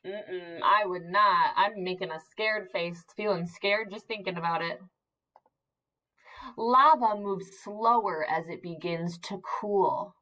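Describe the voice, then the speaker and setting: A woman teacher reading aloud to young children, expressive and encouraging, using simple emphasized words and pausing to ask questions. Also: fairly low pitch, low-quality recording. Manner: encouraging, asks questions and pauses for answers. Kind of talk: interactive read-aloud lesson for young children.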